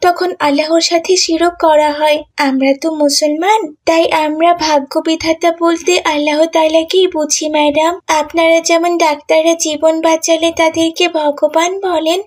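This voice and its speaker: A woman voicing an earnest, polite, devout cartoon schoolgirl who explains herself respectfully. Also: very high-pitched.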